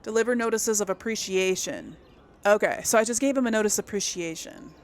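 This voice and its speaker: A woman's voice: medium pitch, fairly quick. A woman giving casual let's play commentary as host of a gaming video, chatty and thinking aloud as she goes.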